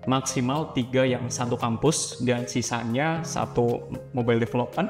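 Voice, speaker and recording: A man's voice: medium pitch, fairly even intonation, moderate pace. Young man in a very reverberant space. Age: 20s. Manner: explanatory, polite, addresses listeners as friends. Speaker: student guest on a podcast. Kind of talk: interview answers in semi-casual talk. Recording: very reverberant room, clear music underneath. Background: music.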